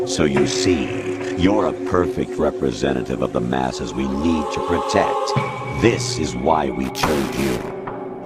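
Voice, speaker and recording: An actor playing a cold, calculating artificial intelligence posing as a commander in a video game, speaking in a smug, measured, condescending way. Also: male voice, rumbling low, exaggerated voice. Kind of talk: dramatic video game voice acting. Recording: loud music behind the voice. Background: loud music.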